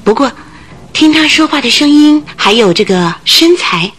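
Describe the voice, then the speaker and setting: A woman's voice: high-pitched, expressive, moderate pace, fairly bright tone. An actress in a Chinese radio drama playing a thin, fidgety, gossipy landlady of about forty, agitated and full of exclamations. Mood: explaining, chatty.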